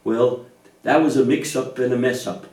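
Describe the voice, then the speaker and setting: A man with a mellow, medium-pitched voice is heard at some distance in a roomy space. He explains things patiently and reassuringly, as the grown-up partner in a puppet show for children. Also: moderate pace. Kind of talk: gentle scripted dialogue teaching children about faith. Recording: echoey room, distant microphone.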